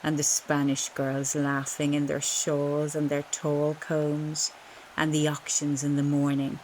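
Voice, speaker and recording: A woman's voice: deep voice, completely flat delivery, darkish tone, voice pushed down, rough recording. A woman with an Irish accent playing a sensual, defiant wife lost in memories, in a dramatic literary stage monologue. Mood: dreamy, rapturous. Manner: dramatic, unhurried, swinging between emphatic bursts and breathy murmurs. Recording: live concert recording with background noise.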